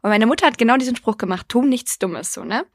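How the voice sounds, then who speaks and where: A woman's voice: fairly high pitch, animated, fast talker, dry recording, studio quality. A young woman co-hosting a podcast, chatting casually, blunt and swearing freely as she acts out quotes. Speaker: podcast co-host. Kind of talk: casual chat.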